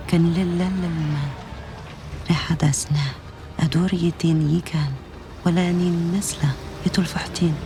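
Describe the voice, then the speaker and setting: Game casting: actress as solemn North African local woman, slightly low voice, very quick delivery, scripted war story. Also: natural voice. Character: grave local North African woman recounting wartime hardship. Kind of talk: dramatic scripted narration. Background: music.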